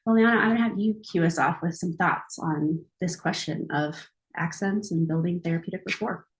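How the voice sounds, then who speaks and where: A woman's voice: fairly low pitch, fairly quick, poor audio. A woman who supervises counselors, hosting a panel discussion in an upbeat, conversational way.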